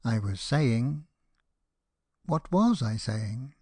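A man plays a vain storybook firework rocket who takes offence at everything. He declaims with pompous indignation and wounded self-pity. The tone is mellow and dark, in a dry recording. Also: mid-range voice, animated, very slow, breathy edge, no echo, full character voice. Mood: severe, haughty. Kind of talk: dramatized storybook reading.

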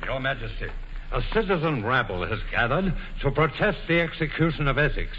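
A man's voice: mid-range voice, very animated, fairly quick, big-room sound, natural voice. An actor playing a dutiful, deferential man in royal service, speaking formally in a 1940s radio drama. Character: deferential, dutiful officer and courtier to the Queen. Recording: dull, noisy old radio recording.

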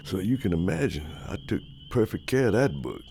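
An actor playing a haunted old former servant with a Southern American accent, telling a horror tale gravely in folksy speech for a radio drama. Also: male voice, deep voice, sing-song, fairly quick, a little hiss or hum, heavily acted. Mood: solemn. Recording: music loud under the voice.

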